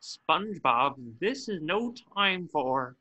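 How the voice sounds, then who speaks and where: A man's voice: a little high, animated, slow, pushed and bright, dull audio, slight background noise, heavily acted. A man playing a grumpy, exasperated cartoon squid cashier in a table reading, irritable and sarcastic. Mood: sour, exasperated.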